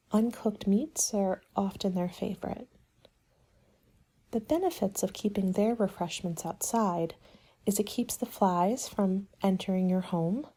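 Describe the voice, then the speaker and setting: A woman doing a soft-spoken ASMR roleplay as a helpful party planner, gentle and measured, often slipping into a whisper. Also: mid-range voice, slightly rough.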